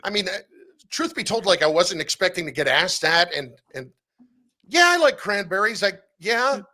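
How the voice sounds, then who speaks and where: A man speaking as a guest in a casual sports podcast interview, telling stories in an animated, conversational way. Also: high-pitched, normal speed. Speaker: interview guest, sports radio play-by-play announcer.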